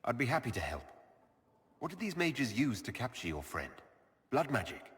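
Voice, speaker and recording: An actor playing a steady, supportive, plain-spoken male elven leader in a video game drama. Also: medium pitch, moderate pace, breathy edge, no room sound, heavily acted. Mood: concerned.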